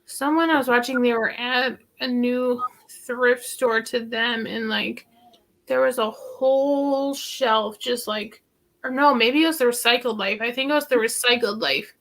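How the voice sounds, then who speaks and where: Woman host, slightly high and gravelly, rambling casual chat, filler-heavy. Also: a little slow, fairly bright tone. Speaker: host of a vintage thrifting channel.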